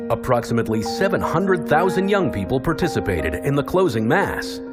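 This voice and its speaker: A man reading a scripted documentary narration in a measured, informative way. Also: medium pitch. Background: loud music bed.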